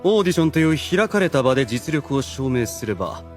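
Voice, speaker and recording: A man playing a suave, calculating demon retainer in an anime audio drama, speaking with formal courtesy and measured persuasion. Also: mid-range voice, big pitch swings, soft background music, natural voice. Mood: smooth, reasoning.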